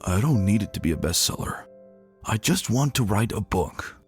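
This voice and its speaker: A man's voice: lowish voice, expressive, normal speed, darkish tone, soft background music, close to an everyday voice. A man playing an earnest, self-deprecating wounded soldier prince, a stallion narrating his own dramatic story. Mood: frustrated, insistent.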